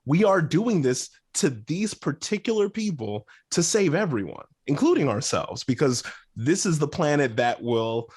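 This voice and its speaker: Very animated man in casual discussion. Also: on the high side, moderate pace, breathy edge. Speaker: podcast panelist. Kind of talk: casual pop-culture discussion.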